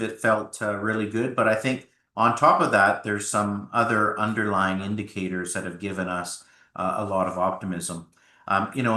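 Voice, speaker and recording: A man's voice: fairly low pitch, pushed and bright, echoey room. A man from Alberta, the founder of a home builder, talking upbeat in a business interview with frequent fillers.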